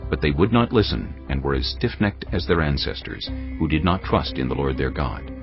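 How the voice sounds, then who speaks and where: A male narrator reading scripture in a measured, grave storytelling manner. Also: rumbling low, animated. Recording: dull lo-fi sound over cinematic music. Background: cinematic music.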